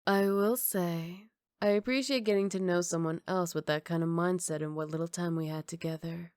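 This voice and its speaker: A woman playing a flirty, cocky female villain who teases in an unhurried, smooth-talking way, in an ASMR roleplay. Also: lowish voice, fairly even intonation, darkish tone, no echo, clean studio sound, lightly acted. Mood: cool, confident.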